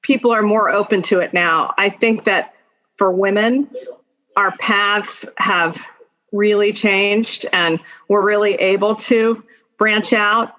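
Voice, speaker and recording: A woman's voice: medium pitch, steady tone, slow pace, a bit bright. Dull-sounding recording, informal woman podcast guest. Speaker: podcast guest, attorney and executive. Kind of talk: conversational interview answers.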